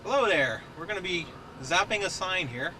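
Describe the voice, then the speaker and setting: A man's voice: high-pitched, lively intonation, moderate pace. A man driving a car and testing a big radio amplifier, joking, laughing a lot and hollering into the radio. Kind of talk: casual chat on the road. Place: moving car. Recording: loud road noise, home video.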